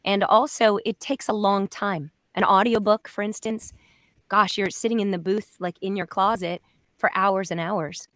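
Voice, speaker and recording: Mid-pitched woman in casual discussion. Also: steady tone, normal speed, a bit bright, dry recording, studio quality. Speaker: voice actor. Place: home recording space.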